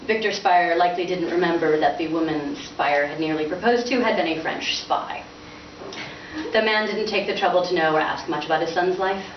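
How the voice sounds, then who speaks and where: A woman author giving an expressive, lightly dramatized live reading of fiction. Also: fairly low pitch, normal speed, distant mic. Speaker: author reading from their novel. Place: indoors in a bookstore. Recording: muffled, echoey, noisy room from afar.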